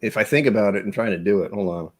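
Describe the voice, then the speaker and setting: A man's voice: on the low side, fast talker. A man hosting a whiskey tasting livestream, chatting casually in a jokey, rambling, self-deprecating way. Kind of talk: casual chat.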